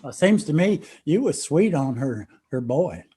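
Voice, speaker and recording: Lighter pitched hill country guy actor, wry appalachian brother telling old stories in a play. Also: sing-song, normal speed, mellow, husky. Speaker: actor in a one-act play. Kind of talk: stage play dialogue, reminiscing.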